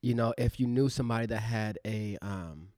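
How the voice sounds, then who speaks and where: Thirties guy chatting on a podcast, kinda low voice, lots of you knows, dry mic. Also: moderate pace, warm tone, no echo. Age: 30s. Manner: loose and rambling, many fillers. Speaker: podcast co-host, actor. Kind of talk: casual reflective chat.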